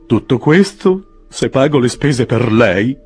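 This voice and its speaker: A fussy older gentleman speaks with an exasperated edge, his husky voice rising and falling over a wide range, as a man voices him in an audiobook. His pitch sits in the middle. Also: very animated, normal speed, faint music behind. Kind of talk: audiobook character voice.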